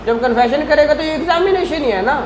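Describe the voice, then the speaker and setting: A man's voice: very high voice, quick pace. Pakistani man teaching law over background noise. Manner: didactic, explains step by step with check-in questions. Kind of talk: classroom lecture, reading statute and explaining it. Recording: clear background noise in the room.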